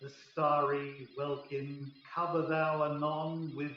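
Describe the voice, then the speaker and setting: A man's voice: on the high side, level tone, takes it slowly, faint music behind. A man playing a stern, imperious fairy king, speaking stately verse in an amateur Shakespeare radio play. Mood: commanding. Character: commanding fairy king of shadows, stern and grand. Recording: very echoey, distant, dull, faint noise.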